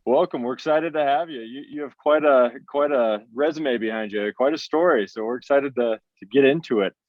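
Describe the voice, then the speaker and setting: A man's voice: mid-range voice, fairly quick, no room sound. Eager man host, dry lo-fi sound. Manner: eager, repeats words as he starts. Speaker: podcast host. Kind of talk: interview questions. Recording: dull, dry lo-fi audio.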